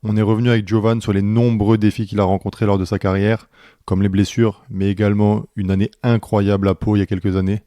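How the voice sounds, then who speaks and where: A man's voice: on the low side, little pitch movement, quick pace, mellow, no echo, clean studio sound. A young man hosting a basketball podcast, chatting casually and friendly with lots of fillers and restarts. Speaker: podcast host.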